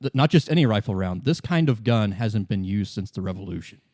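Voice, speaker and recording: A man running a tabletop roleplay as game master, improvising the narration and haltingly thinking aloud. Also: fairly low pitch, expressive, darkish tone, dry recording, studio quality.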